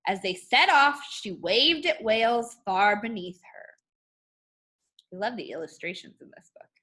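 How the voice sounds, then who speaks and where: A woman teacher reading a picture book aloud to children in an expressive, instructive way. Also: mid-range voice, a little slow. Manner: expressive, instructive, addressing young students. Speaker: art teacher.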